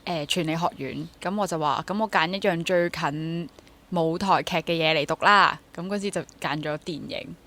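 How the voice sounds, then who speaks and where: A woman's voice: sits low, normal speed, a bit bright. A woman in her twenties with a Hong Kong Cantonese accent, talking candidly and self-deprecatingly as a guest in a casual interview. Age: 20s. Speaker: guest, stage actor.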